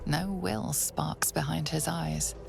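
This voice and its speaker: A woman playing the solemn narrator of a dark fantasy game, reading scripted lines in a hushed, gravelly voice. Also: low-pitched, steady tone, slow, over music, exaggerated voice. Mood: grave, ominous.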